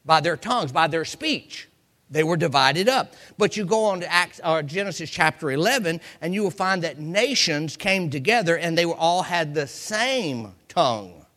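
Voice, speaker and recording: A man's voice: on the high side, animated, moderate pace, pushed and bright. A man from the United States preaching an informal Bible lesson in a folksy way, repeating phrases to drive his point home. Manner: folksy, acts out examples and quotes. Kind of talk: informal Bible teaching sermon.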